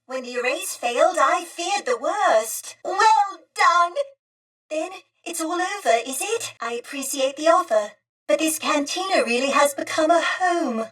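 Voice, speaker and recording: A woman voicing a polite, warm, old-fashioned female droid in a video game, with prim, formal and earnest diction. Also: sits high, distant mic. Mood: cheerful, earnest. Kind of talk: video game character acting.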